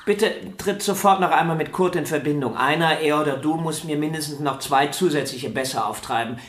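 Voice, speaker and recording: Woman doing a cocky young violin prodigy, lowish voice, pushy and full of himself, echoey room. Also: brisk, close to an everyday voice. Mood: insistent, vain. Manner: arrogant, insistent, theatrical. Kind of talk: radio drama acting. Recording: roomy studio echo.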